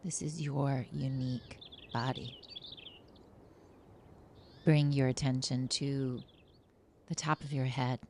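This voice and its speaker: A woman guiding a relaxation meditation as a teacher, with a soothing, gentle and hushed delivery. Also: a bass voice, steady tone, slow pace, pauses often, a little hiss or hum.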